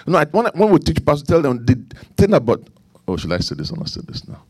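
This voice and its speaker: Nigerian-accented pastor preaching, dry sound. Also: male voice, on the high side, wildly swooping pitch, quick pace, husky, no room sound. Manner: preaching with repetition and asides, swinging from emphatic to quiet. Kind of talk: sermon.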